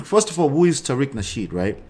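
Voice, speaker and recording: Man, forties, Nigerian accent, mid-pitched voice, casual live commentary, conversational host, frequent check-in tags. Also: big pitch swings, a little fast, mellow. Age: 40s. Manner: conversational, punctuated with check-in tags like right and okay.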